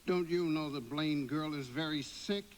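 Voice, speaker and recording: An actor playing an angry, grieving, drunken druggist, harsh and accusing, in a live radio drama. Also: male voice, fairly high pitch, normal speed, a little hiss or hum, natural voice. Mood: drunken anger. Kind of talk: live radio play acting.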